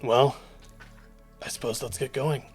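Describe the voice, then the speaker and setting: A man narrating a tabletop roleplaying game as game master, relaxed and filler-heavy. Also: mid-range voice, measured pace, slightly rough, faint music behind.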